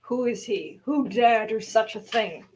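A woman playing an imperious king, generous but quick to anger, in an amateur radio play. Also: on the high side, darkish tone, close to an everyday voice. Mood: outraged. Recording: faint background noise.

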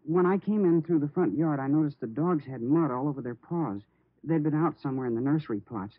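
Worried teenage stepson, American, slightly high voice, earnest, deferential, vintage radio acting, thin band-limited sound. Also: male voice, lively intonation, a little fast, dry recording. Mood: earnest, uneasy. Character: nervous, polite teenage stepson afraid for his mother. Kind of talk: 1950s radio drama acting. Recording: muffled, narrow-band vintage radio recording.

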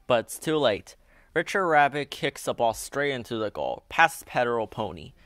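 A woman narrating a children's storybook aloud in a deliberate, simple storytelling manner. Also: extremely low pitch, big pitch swings, fairly bright tone.

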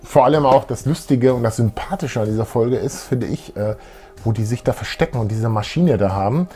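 Casting: male co-host, thirties, medium pitch, informal fan talk over faint music. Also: brisk, dark tone. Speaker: co-host of retro fan show. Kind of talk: casual fan chat. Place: small room full of collectibles, indoors.

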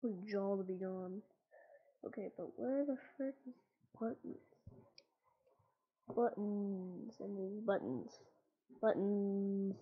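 A woman's voice: mid-range voice, slow pace, long pauses, slightly rough, narrow, tinny audio. A woman improvising casually and playfully in a gaming video, often muttering.

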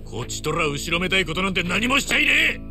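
Rough, animated ex-yakuza tough guy. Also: sits high, fast, music in the background. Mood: defiant. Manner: blunt, rough street speech. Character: rough, hot-headed, honest middle-aged ex-yakuza man. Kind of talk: dramatic video game acting.

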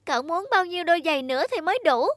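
A woman's voice: extremely high pitch, racing. A woman voicing a hurt, childlike talking shoe in a cartoon, plaintive and reproachful. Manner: plaintive, emotive. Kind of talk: cartoon dubbing. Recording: music behind the voice.

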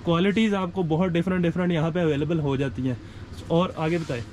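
A man with a Pakistani Urdu accent, a little higher in pitch than most men, hosts a casual review of a market shop. He repeats each price back and turns to talk straight to his viewers. Faint street and traffic sound hangs behind him outdoors. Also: steady tone, fast talker. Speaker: video host reviewing a shop. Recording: street noise in background.

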